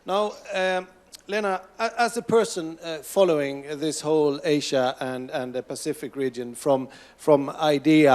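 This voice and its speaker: A man from Sweden moderating an online panel, speaking haltingly with many fillers and restarts. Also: mid-range voice, darkish tone. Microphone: video call.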